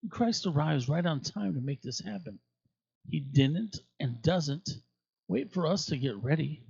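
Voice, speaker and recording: Husky man reading scripture aloud. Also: on the high side, warm tone, no echo, lo-fi sound. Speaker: scripture reader at a church.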